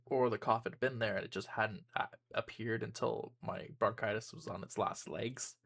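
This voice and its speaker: A man's voice: lowish voice, steady tone, normal speed, creaky, fairly bright tone. Rambling young man vlogging. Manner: rambling, with frequent ums and restarts. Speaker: YouTuber and streamer. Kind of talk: casual personal update to viewers.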